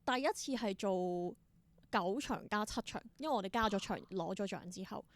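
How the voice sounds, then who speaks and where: A woman's voice: medium pitch, normal speed, no echo. Hong Kong Cantonese woman, explanatory interview guest. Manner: explanatory, mixes English terms into Cantonese. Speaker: interview guest, theatre performer. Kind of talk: conversational interview answers.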